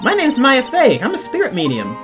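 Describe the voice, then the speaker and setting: An excitable teenage spirit medium, cheeky and over the top, as a woman pitches her voice well up for the part. She squeals and swings wildly through her range, and faint music sits behind her. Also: brisk. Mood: chirpy. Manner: squealing, dramatic.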